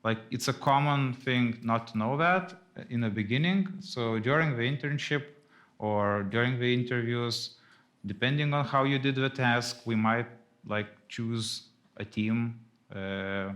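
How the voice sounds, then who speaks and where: A man's voice: mid-range voice, unhurried, a bit bright. A man on a live office panel, answering questions in a loose, hedging way with many fillers. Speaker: engineer on recruitment panel. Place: office event space.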